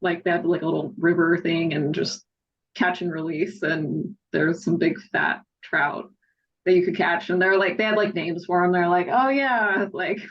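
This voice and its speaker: Low-voiced woman chatting, slightly lo-fi. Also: steady tone, moderate pace. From southern Utah, United States. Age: 30s. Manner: hesitant, piecemeal phrasing with constant "like". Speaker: podcast guest. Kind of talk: casual chat.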